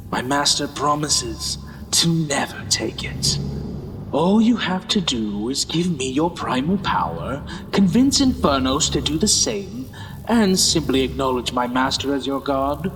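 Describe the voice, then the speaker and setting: Man playing a smug fantasy villain in cavernous reverb. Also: fairly high pitch. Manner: smug, mocking, silky menace. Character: gloating, scheming male villain serving a dark master. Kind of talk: fantasy audio drama acting. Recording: long reverb, loud background noise.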